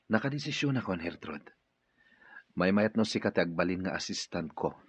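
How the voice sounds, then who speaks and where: A man's voice: low-pitched, lively intonation, moderate pace, slightly rough. An actor playing an authoritative, decisive businessman giving orders in an Ilocano radio drama. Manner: commanding. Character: authoritative wealthy male businessman boss, ailing and decisive. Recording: dull sound with music underneath.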